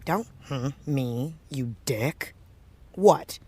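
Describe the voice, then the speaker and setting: A woman's voice: a bass voice, lively intonation, drawn-out words, mellow, breathy edge, no echo, exaggerated voice. A woman playing a jaded, self-doubting American television actress in an audio drama, talking casually and wryly with trailing thoughts. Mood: wry, teasing. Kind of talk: naturalistic audio drama dialogue.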